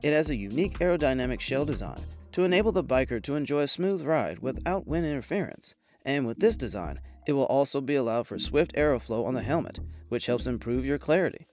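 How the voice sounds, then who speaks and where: A man reading scripted product review narration in a measured, promotional way. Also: mid-range voice, expressive, a little mellow. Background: background music.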